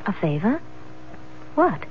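A woman's voice: medium pitch, very animated, slow pace, slight background noise. A woman playing an anxious, desperate wife in a 1970s radio drama. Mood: uneasy. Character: anxious, desperate married woman wanting a baby. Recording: thin phone-like old radio broadcast.

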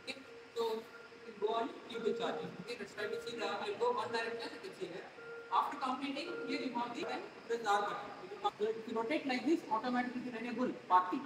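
A man's voice: very high-pitched, quick pace, very noisy. Indian man teaching in an echoey workshop. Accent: Indian English. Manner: quizzes students with rhetorical questions. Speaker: instructor. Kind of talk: classroom demonstration. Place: large open workshop hall with students.

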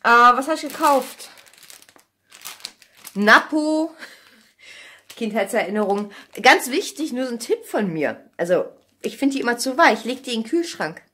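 A woman's voice: fairly high pitch, expressive, a little slow, pauses often, bright, forceful tone. A woman, chatting casually to camera in an informal, thinking-aloud way on a phone at arm's length in a kitchen. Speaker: vlogger. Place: home kitchen. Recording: noisy handheld vlog recording with clicks.

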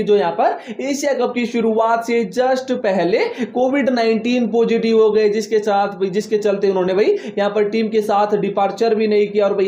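A young man presents a fast cricket news roundup, gesturing as he talks and stressing points with repeated affirmations. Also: sits high, roomy sound, muffled recording. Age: young adult. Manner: animated hand gestures, emphatic repetition of "जी हां". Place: indoor studio with green-screen stadium backdrop.

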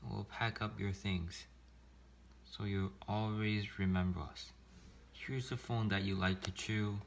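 A man voicing a gentle, loyal police chief in a children's storybook read-aloud, soothing and apologetic. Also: fairly low pitch, steady tone, unhurried, gravelly, a little hiss or hum. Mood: sad, tender.

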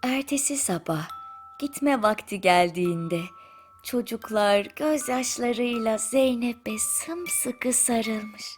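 A woman narrating a bedtime story in a soothing, gentle manner with drawn-out pauses. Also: a little high. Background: music.

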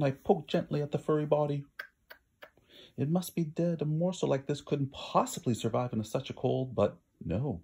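A man reads a picture book aloud with lots of drama. His pitch moves around freely over a creaky, slightly rough voice, and he lingers on key words for emphasis. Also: warm tone. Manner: theatrical, drawn-out emphasis. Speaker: storybook reader.

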